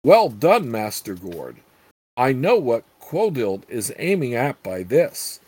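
A man's voice: mid-range voice, sing-song, slow, a little mellow, husky, no room sound, full character voice. Man reading as a stern old count. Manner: dry, steely, commanding. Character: stern gray-bearded old male count.

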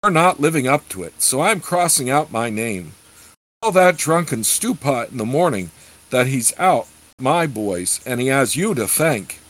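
Blunt male mercenary captain for a fantasy storybook man narrator acting, curt and demanding, animated mid-range voice. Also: medium pitch, measured pace. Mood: demanding, irritated. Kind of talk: storybook character reading.

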